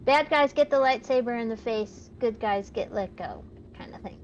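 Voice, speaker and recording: A woman's voice: on the high side, normal speed. A woman co-hosting a game stream, talking casually over voice chat. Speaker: co-host, fellow gamer. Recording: dull lo-fi voice chat with noise.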